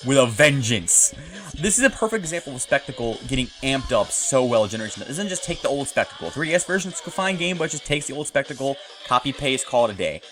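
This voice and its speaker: A man's voice: medium pitch, very animated, fast, hardly any pauses, fairly bright tone. A man giving casual, rambling, enthusiastic commentary while playing a video game. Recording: music playing behind the voice. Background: video game music.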